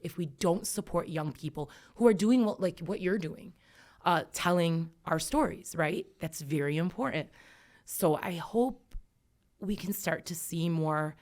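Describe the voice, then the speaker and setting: Woman, 30s, educator guest; vocal fry, earnest and reflective, lots of "um"s. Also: fairly low pitch, lively intonation, unhurried, darkish tone. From United States. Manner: reflective, emphatic, many fillers. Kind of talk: earnest podcast conversation.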